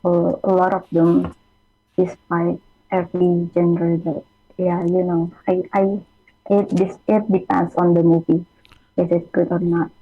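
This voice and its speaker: A woman's voice: lowish voice, little pitch movement, measured pace, slightly rough, dry recording. Hesitant Indonesian young woman on a phone line. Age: young adult. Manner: hesitant, many fillers. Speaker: student guest. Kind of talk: radio interview answers. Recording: tinny phone line, faint music.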